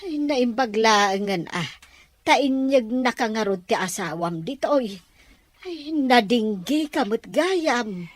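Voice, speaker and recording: A woman's voice: a little high, lively intonation, slow pace, dry recording. A woman with a Filipino accent playing a concerned, fond older mother in an audio drama. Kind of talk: Ilocano audio drama acting.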